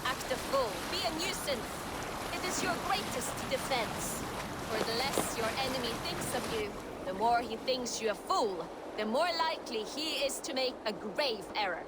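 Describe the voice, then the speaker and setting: Actress as high-voiced spirited Viking shield-maiden. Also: female voice, lively intonation, normal speed, close to an everyday voice. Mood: instructive, bold. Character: spirited, cheeky female Viking warrior recounting her exploits. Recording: loud sea and boat noise.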